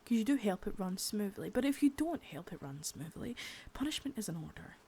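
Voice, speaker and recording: A woman voicing a sadistic, menacing female boss in an ASMR roleplay, whispering softly with spoken threats between. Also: mid-range voice, very animated, brisk, vocal fry, husky, heavily acted. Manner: taunting and threatening.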